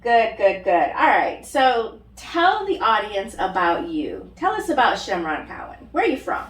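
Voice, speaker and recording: A woman's voice: mid-range voice, very animated, a little slow. Friendly woman interviewer in an echoey room. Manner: friendly, short affirmations. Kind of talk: casual interview questions. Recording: echoey room, mic at a distance.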